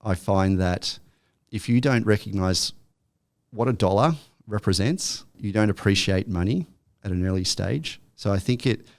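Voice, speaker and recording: Low-pitched man in his thirties, eager co-host. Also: no echo. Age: 30s. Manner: eager, quick agreements, gives advice. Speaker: podcast co-host. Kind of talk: casual chat.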